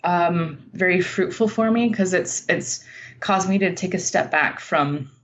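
A woman's voice: fairly low pitch, unhurried. An American woman from Utah, a writer and teacher, talking casually as an interview guest. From Utah, United States. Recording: dull lo-fi line, faint background noise.

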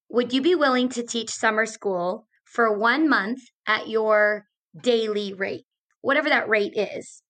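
A woman's voice: fairly high pitch, a bit bright. A woman co-hosting an education podcast, chatting casually with a strained voice. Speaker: podcast co-host, teacher.

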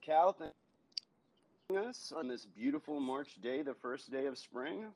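A man's voice: mid-range voice, unhurried, darkish tone, dry recording, low-quality recording. A man hosting a podcast, moving between wry announcements and casual questions. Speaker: podcast host. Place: indoors, in person with guest.

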